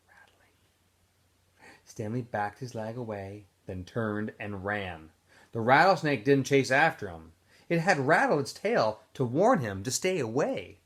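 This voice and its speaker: A male teacher reads a novel aloud for his students, chatty and casual between chapters. His voice sits at a middle pitch but moves around a great deal, giving the reading an animated lift. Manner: casual, chatty recap with fillers, then expressive reading.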